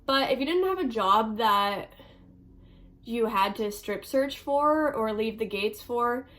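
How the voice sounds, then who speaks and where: A woman's voice: on the high side, animated, takes it slowly, a bit bright. A young woman vlogger with a stuffy nose, answering viewer questions in a chatty way, full of ums and likes. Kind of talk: casual Q&A vlog.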